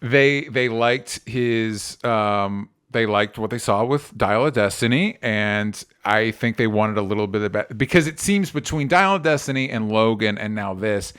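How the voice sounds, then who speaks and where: A man's voice: on the low side, unhurried, few pauses, fairly bright tone, clear, resonant tone. A man with an American accent chatting casually and informally about entertainment news as a podcast co-host, often qualifying what he says. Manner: informal, hedging explanations.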